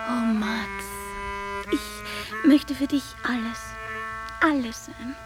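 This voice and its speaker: Slow, coquettish actress as Viennese sweetheart. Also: female voice, a little high, music in the background. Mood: yearning, teasing. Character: capricious, coquettish Viennese sweetheart, pleading yet teasing. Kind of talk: dramatic literary recitation over music.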